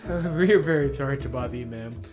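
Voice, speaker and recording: A businesslike woman police inspector, read aloud by a man from a visual novel. The manner is composed, polite and firm, with formal phrasing. Music plays clearly behind. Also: mid-range voice, fast, lightly acted.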